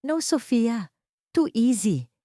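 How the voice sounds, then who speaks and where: Woman acting a cold, vengeful female boss, animated delivery. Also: fairly high pitch, slow pace, no echo, clean studio sound, voice pushed up. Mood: cold, bitter. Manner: commanding, controlled, cutting.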